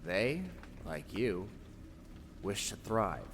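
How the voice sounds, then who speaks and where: A man playing a sinister inner voice in an audio drama, posing as a friend and urging destruction with theatrical, deliberate menace. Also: on the low side, big pitch swings, creaky, full character voice. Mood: grandiose, preaching. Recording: noticeable background noise.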